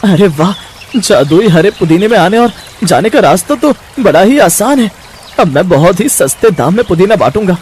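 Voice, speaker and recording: A man's voice: sits high, expressive, normal speed, darkish tone, slight background noise. A man playing an earnest, troubled poor mint seller in a moral story, with a pleading manner. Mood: delighted. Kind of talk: Hindi moral story voice acting.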